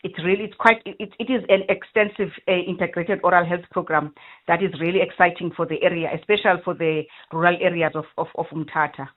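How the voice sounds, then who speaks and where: A South African woman speaks formally and haltingly as an official being interviewed. Her voice is fairly low, heard through a narrow phone line. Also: fairly even intonation. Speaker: provincial health official. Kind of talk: live radio interview. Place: phone call.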